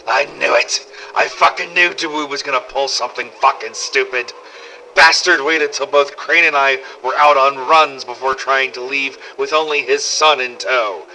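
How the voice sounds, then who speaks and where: Cynical male survivor played by an actor, mid-pitched and forceful, sardonic scripted audio-log storytelling, slightly noisy cassette-recorder effect. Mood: angry, exasperated. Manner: sweary, sardonic, world-weary storytelling. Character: gruff, foul-mouthed zombie-apocalypse survivor, wry and cynical. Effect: old cassette recorder filter. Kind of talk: scripted in-character audio diary narration. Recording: old cassette tape with faint noise.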